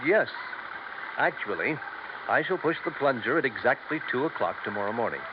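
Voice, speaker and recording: Male actor, quiet confident specialist, gray-haired, formal, precise, dull hissy old radio recording. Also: medium pitch, animated, normal speed, lightly acted. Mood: calm, confident. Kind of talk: 1950s radio drama acting. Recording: noisy, muffled vintage radio recording.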